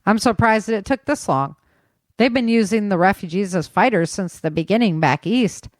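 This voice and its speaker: Woman voicing a male military major for an audiobook: flat, wry, teasing, dryly recorded. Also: mid-range voice, moderate pace, no echo, clean studio sound, close to an everyday voice. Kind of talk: audiobook character dialogue.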